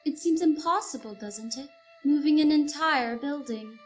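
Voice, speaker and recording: A high, genteel voice from an actress as a polite country woman in a period radio play. She is wide-eyed and given to exclaiming. Soft music runs behind her. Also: moderate pace, natural voice. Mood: astonished. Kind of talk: nostalgic radio play acting.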